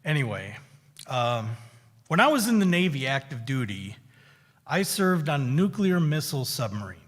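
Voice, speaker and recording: A middle-aged man, a veteran guest speaker, giving a measured, conversational reflection at a synagogue service. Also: medium pitch, sing-song. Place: synagogue sanctuary. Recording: reverberant hall.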